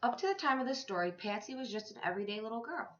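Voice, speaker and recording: A woman reading a story aloud to young children like a teacher, with expressive, deliberate phrasing. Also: a little high. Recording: echoey room, slightly dull sound.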